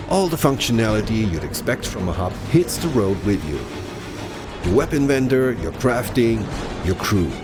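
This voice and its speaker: A man presenting scripted promotional narration for a video game broadcast, polished and explanatory. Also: on the low side. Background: music.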